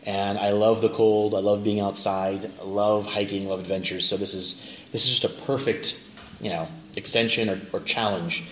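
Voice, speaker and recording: A middle-aged American man with a fairly low voice talks as an interview guest. He is informal and jokey. The sound is roomy, with clear noise around him. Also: level tone, normal speed, a bit bright. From Kansas, United States. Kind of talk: casual conversational interview. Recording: roomy, clearly noisy location recording.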